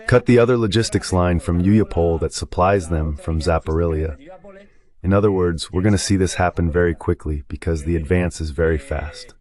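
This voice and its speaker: A man with a low-pitched, synthetic AI dubbing voice gives live map commentary. He explains step by step and repeats short phrases as he points things out. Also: steady tone, normal speed, a little mellow. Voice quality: synthetic dubbed AI voice. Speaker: guest commentator.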